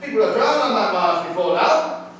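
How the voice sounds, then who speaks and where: A man's voice: sits high, animated, moderate pace, a bit bright. A man on stage playing a plain-spoken, concerned country man with blunt, homely, old-fashioned phrasing. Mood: steady, insistent. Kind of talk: live stage play acting. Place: indoor theatre stage, recorded from a distance. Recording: echoey theatre stage from far off, faint noise.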